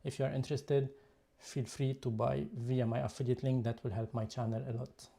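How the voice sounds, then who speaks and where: A man with a Lebanese accent hosts a casual photography live stream, chatting as he shows off his cameras. His voice is deeply dark and mellow, sitting in an average pitch for a man. English is not his first language, and he talks it through in an easy, conversational way. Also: level tone, fairly quick, creaky. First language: Lebanese Arabic. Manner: chatty, explaining gear while showing it. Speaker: YouTube photography channel host.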